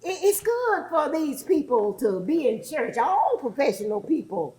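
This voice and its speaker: A woman playing an earnest, teasing church preacher of the civil-rights era in a staged sermon, halting and pointed in delivery. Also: high-pitched, very animated, a little mellow. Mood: mocking, earnest. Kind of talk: staged readers theatre sermon.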